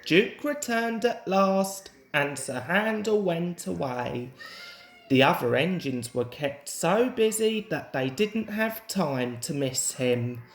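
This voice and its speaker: A woman reads a children's story at a slow, measured pace. Her voice sits a little low, and the recording is echoey and roomy. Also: lively intonation. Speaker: storybook reader.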